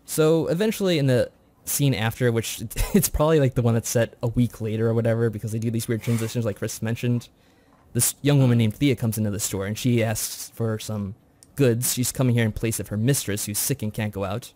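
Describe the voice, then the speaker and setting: A man's voice: mid-range voice, a little fast, dark tone. A man co-hosting a review show, recapping a story in a chatty, informal way. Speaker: co-host of a visual novel review show.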